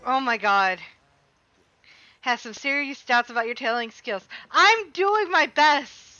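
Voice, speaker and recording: A high, lively woman's voice in live game commentary, slightly dull streaming audio over music. She sounds exasperated and expressive, talking straight at the game as she plays. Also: measured pace, no echo.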